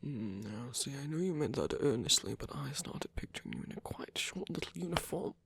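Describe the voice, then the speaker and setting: A performer in an intimate ASMR roleplay, playing a proud, flirtatious villain in pain who speaks softly and haltingly, guarded yet tender, in a breathy, gravelly voice. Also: medium pitch, expressive, heavily acted. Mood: teasing, flirtatious. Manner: halting, hesitant, murmured.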